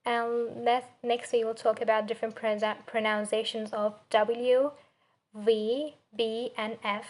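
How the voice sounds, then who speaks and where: Young turkish accent girl doing a class presentation, slow n halting, kinda monotone, searching for words. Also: on the high side. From Turkey. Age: young adult. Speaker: student presenter. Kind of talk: spoken assignment presentation on pronunciation.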